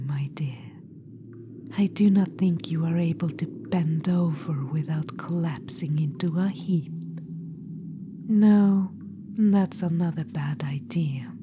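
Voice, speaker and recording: A woman's voice: fairly low pitch, background noise. A woman voicing a vain, imperious undead witch queen in a whispered ASMR roleplay, teasing and condescending toward the listener. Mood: bickering. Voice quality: soft whispering.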